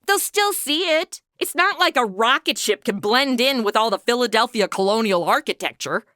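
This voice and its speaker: A woman's voice: on the high side, lively intonation, normal speed, bright, forceful tone, no echo, studio quality. A woman playing a sarcastic wisecracking young woman. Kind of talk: comedy audio drama acting.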